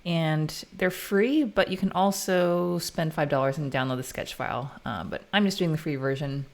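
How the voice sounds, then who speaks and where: Woman, American accent, low pitch, casual live coding stream, chatty, thinking aloud. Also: moderate pace, gravelly. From United States.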